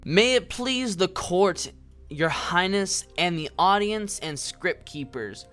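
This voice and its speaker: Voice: medium pitch, lively intonation, slow, a bit bright, faint music behind. An actor playing a formal, accusatory prosecuting lawyer with an oratorical delivery in an audio drama. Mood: formal, accusing. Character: formal, theatrical prosecuting lawyer in a fantasy court. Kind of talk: scripted audio drama courtroom speech.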